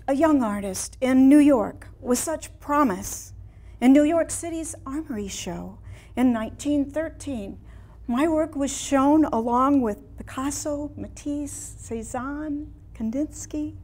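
A woman in her sixties playing an ailing, reflective elderly woman painter in a staged dramatic monologue, with theatrical, measured delivery and long pauses. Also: high-pitched, lively intonation, darkish tone, pitched above the performer's own voice. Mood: proud, wistful. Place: small indoor room before a seated audience.

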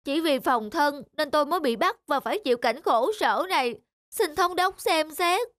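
A woman's voice: high voice, expressive, normal speed, a bit bright, no room sound, studio quality. A woman voicing a wheedling male convict in a cartoon, pleading and whining in false innocence. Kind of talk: scripted cartoon dub. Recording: music under the dialogue.

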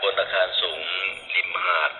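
A man's voice: unhurried, sharp tone, faint music behind. A man heard over a two-way radio as a station operator, confirming details in clipped phrases. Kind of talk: radio exchange, reading back details. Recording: muffled radio speaker in reverberant space.

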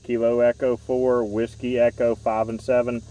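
Man, 40s, outdoor radio operator; quick clipped call-sign delivery, mic held a bit off. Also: medium pitch, little pitch movement, mellow, no room sound, distant mic, poor audio. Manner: brisk, repetitive call-sign reading. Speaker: ham radio park activator. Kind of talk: rapid radio contact exchange. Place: outdoors in a park. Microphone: handheld radio microphone.

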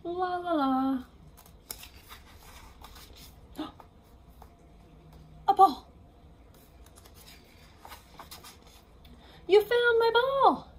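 Storybook little female pig played by a woman: high, excitable, sing-song, show-off, fun-loving. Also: drawn-out words, a little hiss or hum, heavily acted. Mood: gleeful. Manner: exclaiming, sing-song, gleeful. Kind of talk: children's picture-book read-aloud.

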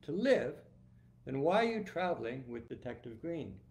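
A man reading aloud as a polite, brisk woman customs officer, lifting his voice a little and asking official questions. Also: medium pitch, sing-song, darkish tone. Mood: questioning.

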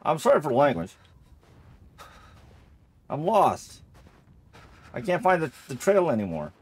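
A man's voice: fairly high pitch, wildly swooping pitch, unhurried, breathy edge. A man giving casual Let's Play commentary on a horror game, rambling and thinking aloud as he plays, often repeating himself. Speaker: gamer narrating a horror game playthrough.

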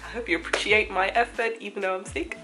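A young woman with a hoarse, flu-worn voice, chatting upbeat to camera in her dining room. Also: mid-range voice, steady tone, fast talker, music in the background. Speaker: DIY vlogger. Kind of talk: on-camera outro chat. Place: home dining room indoors. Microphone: camera at close range.

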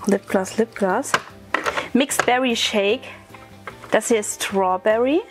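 A woman's voice: mid-range voice, takes it slowly. A young woman chatting casually and playfully while shopping, with an enthusiastic manner. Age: young adult. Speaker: vlog host. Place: inside a large drugstore. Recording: background music.

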